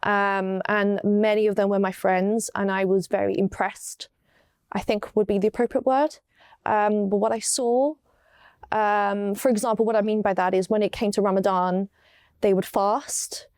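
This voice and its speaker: A young woman from Wales with an English accent, unhurried and full of ums as she tells her story in an interview. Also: medium pitch, steady tone, darkish tone, clear, resonant tone, no echo, studio quality. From Wales, raised in East London. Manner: unhurried, many ums and restarts. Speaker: guest.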